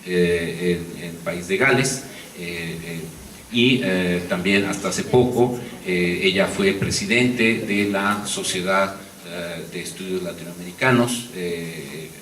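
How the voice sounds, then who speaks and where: A man, a conference moderator giving a formal speaker introduction, halting and full of fillers. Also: deep voice, fairly bright tone. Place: large conference hall. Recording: echoey hall, distant mic, faint noise.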